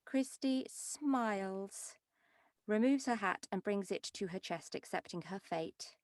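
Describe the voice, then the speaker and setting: A woman with a medium-pitched voice runs a script read-through. She reads out directions and talks informally, recorded close and dry. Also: expressive, slow pace, a little mellow, no room sound. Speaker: session leader reading stage directions. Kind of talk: table-read narration and informal discussion.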